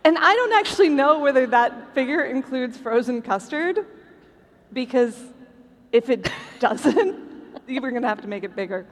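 A woman's voice: high voice, animated, normal speed. A woman podcast host presenting a live stage show to a convention audience. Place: large indoor convention hall. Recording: echoey convention hall, clear background noise.

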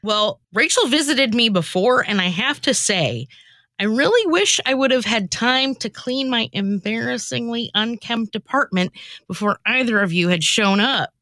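A bright, high-pitched woman acting as a sleepy, exasperated heroine of a comedic radio play. Her delivery is dramatic and flustered, swinging widely in pitch, and the recording is dry and close. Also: animated, normal speed, dry recording. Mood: reluctant, bewildered. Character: flustered, sleepy, guilt-ridden woman haunted on Christmas night.

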